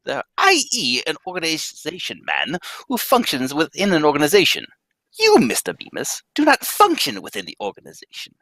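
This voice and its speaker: A man's voice: fairly high pitch, huge pitch swings, moderate pace, bright, forceful tone, dry recording, heavily acted, low-quality recording. A man reading the part of a stern, pompous bank president in a live radio-play reading, lecturing emphatically. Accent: American. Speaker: live script reader.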